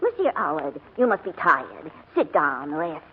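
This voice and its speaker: An effusive French madame who runs an inn, fussing admiringly in a French accent. Her husky voice swoops up and down. It is a narrow, tinny 1940s radio play recording. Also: low-pitched, very animated, a little slow, full character voice. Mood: solicitous.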